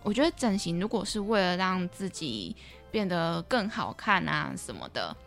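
A woman's voice: fairly low pitch, sing-song, moderate pace, creaky, fairly bright tone. Taiwanese Mandarin woman hosting, music behind. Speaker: podcast host. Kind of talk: conversational podcast hosting.

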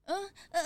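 Woman doing a very high, whiny passenger. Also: full character voice. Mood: whining. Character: whiny, easily shocked, complaining cruise passenger. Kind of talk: children's detective radio drama acting.

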